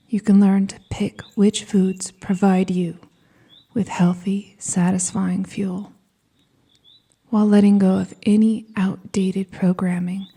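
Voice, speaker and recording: A woman with a soft, breathy, whispery voice guiding a scripted meditation in a soothing, gentle manner with deliberate pauses. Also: mid-range voice. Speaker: hypnosis and meditation guide.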